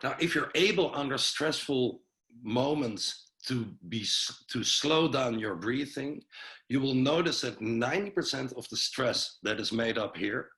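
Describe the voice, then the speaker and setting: A man with a Dutch accent, a breathwork and cold exposure coach, speaking on a video call webinar in a friendly, self-mocking way and guiding listeners through slow breaths. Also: medium pitch, expressive, fairly bright tone, low-quality recording. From Netherlands. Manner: friendly, laughs at himself, gives slow breathing cues. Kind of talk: guided breathing instruction in a webinar.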